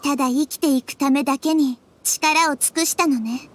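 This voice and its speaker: A woman voicing a wise, caring little-girl deity in an anime game, soft, thoughtful and measured. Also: high-pitched, fairly even intonation, a little hiss or hum, natural voice. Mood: sorrowful concern. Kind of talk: Japanese anime game voice acting. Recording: music behind the voice.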